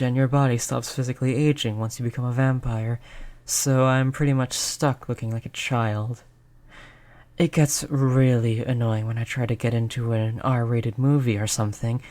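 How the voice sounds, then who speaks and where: A performer voicing a polite vampire who looks like a child, gentle, reassuring and chatty in an audio roleplay. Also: mid-range voice, close to an everyday voice. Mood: wry. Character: polite vampire turned young, stuck looking like a child. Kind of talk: audio roleplay spoken to the listener.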